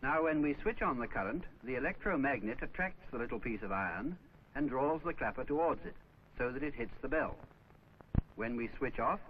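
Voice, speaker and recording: Guy doing old 50s school film narration, explains things step by step, muffled soundtrack w/ a bit of noise. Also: mid-range voice. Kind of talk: 1950s instructional film narration, scripted. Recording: dull, noisy old 1950s film soundtrack.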